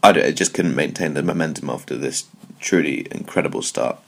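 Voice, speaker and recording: Deep gravelly British man. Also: fairly quick. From United Kingdom. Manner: wry, digressive, jokey asides. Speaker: podcast host. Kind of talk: casual solo commentary on music.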